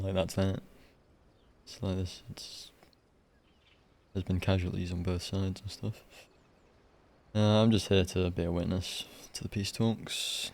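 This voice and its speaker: A man's voice: deep voice, vocal fry, a little mellow. A man giving casual live game commentary as a streamer, offhand and loosely rambling.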